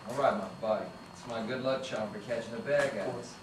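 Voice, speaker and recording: A man voices a brash young cop who treats rules as a joke, teasing and protective. The read is caught from across an echoey room. Steady noise hangs under every line. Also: medium pitch, moderate pace, natural voice. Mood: cocky. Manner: cocky, teasing. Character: cocky young male cop, protective big brother. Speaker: actor at a table read. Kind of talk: table read acting. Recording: echoey room from a distance, noisy.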